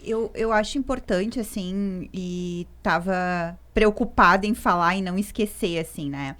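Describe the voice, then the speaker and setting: A woman's voice: mid-range voice, measured pace, fairly bright tone. A woman psychologist, a guest on a podcast, talking things through with many fillers. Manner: thoughtful, many fillers like "né" and "assim". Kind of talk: podcast discussion.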